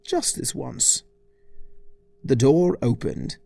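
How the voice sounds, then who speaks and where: A man's voice: medium pitch, big pitch swings, slow pace, gravelly, warm tone, dry recording. A man reading a scripted comic story as narrator, in an arch, theatrical, mock-formal manner. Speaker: fan fiction reader.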